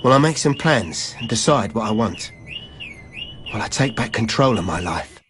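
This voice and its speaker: An actor playing a wistful graduate who lives in a van, earnestly persuading himself in lyrical radio drama verse. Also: male voice, fairly low pitch, expressive, normal speed, noisy background, natural voice. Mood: defensive hope. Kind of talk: poetic radio drama monologue.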